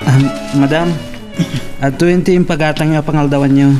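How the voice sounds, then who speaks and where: A man's voice: on the high side, fairly even intonation, brisk, far from the mic, music in the background, lightly acted, low-quality recording. A man playing a respectful office errand worker in an Ilocano radio drama.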